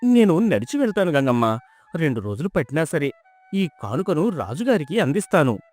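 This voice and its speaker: Guy playing poor honest village husband in a folk story, very expressive pitch swings, music behind. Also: moderate pace. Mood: earnest, humble. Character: poor, pious, honest village husband, humble and wonder-struck. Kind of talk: dramatised folk tale storytelling.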